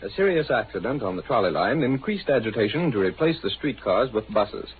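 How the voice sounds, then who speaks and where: A man's voice: mid-range voice, big pitch swings, fairly quick. A man announcing and narrating an old radio serial in a formal, dramatic scripted style. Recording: noisy, tinny old radio recording.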